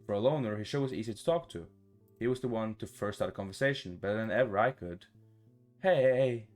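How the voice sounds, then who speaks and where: A man with a fairly low voice reads a visual novel aloud in a gaming playthrough, with clear guitar music behind him. He trips on words here and there and drops in his own comments. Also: fast talker. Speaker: gaming YouTuber.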